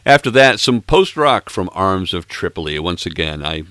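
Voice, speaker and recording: A man in his late sixties hosting a music podcast in casual chat, a little hoarse, with playful self-mocking asides. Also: low voice, sing-song, normal speed, a bit bright, dry recording. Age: 60s. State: slightly hoarse, froggy throat. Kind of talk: casual chat between tracks.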